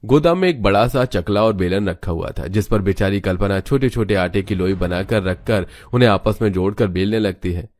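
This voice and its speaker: A man's voice: lowish voice, moderate pace, hardly any pauses, a little mellow, faint music behind. A man reading scripted narration for a family story.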